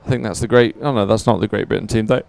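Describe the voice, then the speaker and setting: A man's voice: lowish voice, racing, vocal fry, a little mellow. A man giving live, unscripted sports commentary in a chatty, hesitant, explanatory way.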